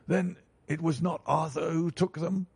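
Casting: man doing a frantic, grieving old banker, pleading and raised, gravelly edge. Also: on the high side, fairly even intonation, measured pace, a little mellow, no echo, pitched above the performer's own voice, full character voice. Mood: anxious. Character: distraught elderly male banker, frantic and pleading.

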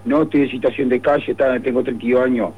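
A man's voice: mid-range voice, fairly even intonation, quick pace, breathy edge. A man in his thirties from Cerro Largo with a Uruguayan Spanish accent, pleading insistently in a street interview and repeating himself. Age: 30s. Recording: dull radio line.

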